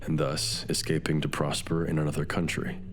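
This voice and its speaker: Very deep-voiced mentor detective. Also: male voice, completely flat delivery, moderate pace, noisy background. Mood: reassuring. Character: older male mentor detective, calm, wry, reassuring. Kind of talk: audio drama dialogue.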